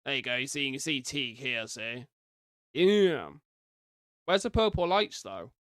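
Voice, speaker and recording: A man's voice: mid-range voice, animated, unhurried, a bit bright, no room sound, clean studio sound. A British man doing informal live reaction commentary as a streamer, reading captions aloud and adding chatty asides. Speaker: streamer reacting to horror videos.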